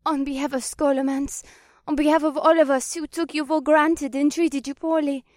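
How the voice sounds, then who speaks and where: A woman's voice: sits high, fairly even intonation, normal speed, a little mellow, no room sound, clean studio sound, lightly acted. A woman voicing a meek, apologetic witch in a fantasy audiobook, pleading and deferential to her master. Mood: remorseful, pleading. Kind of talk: audiobook character dialogue.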